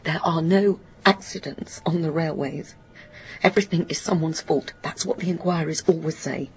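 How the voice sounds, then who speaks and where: A woman playing a guilt-ridden, stubborn grown daughter in a radio drama, speaking in a halting, anguished, bitter confession that often drops to a murmur. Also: deep voice, a little mellow, a little hiss or hum, rough recording. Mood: bitter, ashamed.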